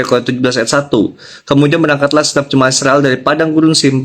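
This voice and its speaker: A man's voice: mid-range voice, fairly bright tone. A man preaching a devotional to online listeners as a pastor, emphatically repeating key phrases and checking in with his audience. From Indonesia.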